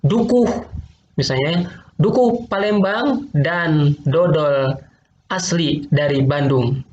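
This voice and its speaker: A man's voice: on the high side, takes it slowly, darkish tone. A male teacher, patiently explaining logic in an online class, repeating points and quizzing students. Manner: patient, repeats points, asks quiz questions. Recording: roomy, dull lo-fi online class audio with faint noise.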